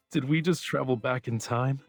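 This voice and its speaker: An actor playing a playful, aloof art professor and boyfriend in a romantic game, teasing and understated, with music behind him. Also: male voice, medium pitch, a little slow, husky.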